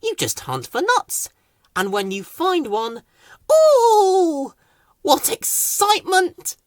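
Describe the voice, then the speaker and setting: Small cheeky critter, squeaky, high, excitable, teasing, very lively swoops. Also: male voice, slow pace, no echo, clean studio sound, full character voice. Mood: gushing. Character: giggly, cheeky little garden animal, excitable.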